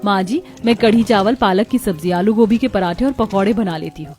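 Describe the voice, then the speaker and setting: A woman's voice: medium pitch, a little fast, a little mellow, faint music behind, natural voice. A woman voicing an eager, humble young village daughter-in-law with respectful rustic phrasing in a cartoon.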